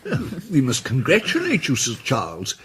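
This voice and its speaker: An actor playing a mild, deferential English gentleman sleuth in a radio drama, polite and tentative. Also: medium pitch, wildly swooping pitch, normal speed, slightly rough, heavily acted. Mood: politely inquiring.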